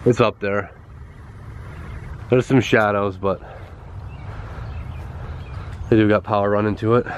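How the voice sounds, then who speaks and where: Low flat-voiced man, stuffed up. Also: muffled recording, a little hiss or hum. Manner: halting, with many pauses and fillers. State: stuffed-up, congested voice. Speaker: vlogger. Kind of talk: casual walk-around vlog narration. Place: outdoors at a rural schoolhouse.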